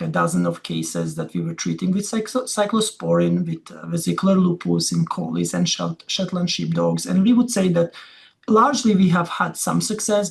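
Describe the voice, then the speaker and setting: Middle-aged male academic, Croatian accent, heavy vocal fry, conversational and hesitant delivery for an informal talk. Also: high voice. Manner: halting, conversational, often checks with "you know" and "yeah". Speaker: veterinary dermatology researcher giving a talk. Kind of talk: informal slide presentation.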